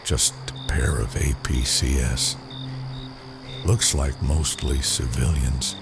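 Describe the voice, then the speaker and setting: A man playing a gruff, menacing gang leader in an audio comic, giving curt, commanding lines in a gravelly voice. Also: rumbling low, fairly even intonation, slow pace, over music, full character voice. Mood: calm, commanding. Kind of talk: audio comic dramatic acting. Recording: clearly noisy track with night crickets.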